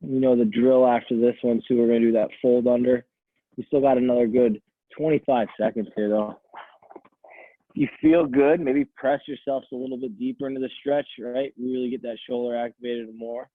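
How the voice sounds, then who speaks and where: A man coaching an at-home stretching class, calmly counting down holds and talking in a soothing voice. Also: medium pitch, normal speed, no room sound. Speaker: fitness coach. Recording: tinny, dry phone-like audio.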